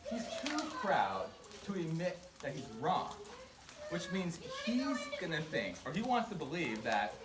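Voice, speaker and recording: A woman's voice: low-pitched, huge pitch swings, a little slow. A woman telling a story live to a group in a casual, playful, self-deprecating way, prompting her listeners to join in. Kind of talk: interactive live storytelling for a group with audience participation. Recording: echoey, far from mic, noisy crowd. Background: crowd of listeners calling out.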